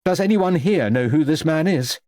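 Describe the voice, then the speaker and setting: A man's voice: a little high, animated, moderate pace, darkish tone, no room sound, voice pushed up, lightly acted. Formal storybook vicar, played by a male narrator, hesitant, mildly protesting, closely recorded.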